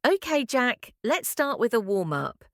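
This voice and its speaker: Female podcast teacher, clear friendly delivery, animated pitch, very unhurried. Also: dry recording, studio quality. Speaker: podcast co-host and English teacher. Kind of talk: scripted English lesson dialogue.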